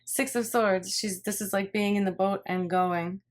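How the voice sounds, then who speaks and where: A woman's voice: medium pitch, fairly even intonation, a little slow. A woman giving a casual tarot reading, thinking aloud in a rambling way and often repeating her phrases. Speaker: tarot reader. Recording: clear background noise, card shuffling and clicks.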